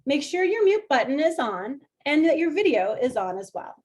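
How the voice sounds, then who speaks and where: A woman welcoming people to an online workshop over a video call, inviting and gently instructive. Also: high voice, very animated, moderate pace. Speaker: workshop facilitator.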